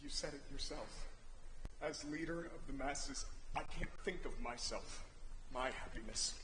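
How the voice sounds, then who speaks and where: A man's voice: medium pitch, a little slow, close to an everyday voice. A young man acting a tormented, proud general and father in a weighty, anguished Greek tragedy style on stage. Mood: grim, resigned. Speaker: student actor in a stage play. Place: theatre stage, indoors. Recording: reverberant noisy theatre hall recording.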